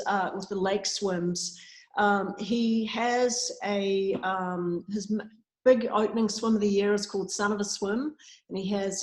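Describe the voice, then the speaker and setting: A woman talks casually about her work as a swim coach, filling pauses with ums and uhs. Her voice sits at an ordinary middle pitch. The call sounds roomy, with a clear echo around her. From New Zealand. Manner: chatty, frequent ums and uhs. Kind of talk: casual interview answers. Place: at a swimming facility. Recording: echoey room sound.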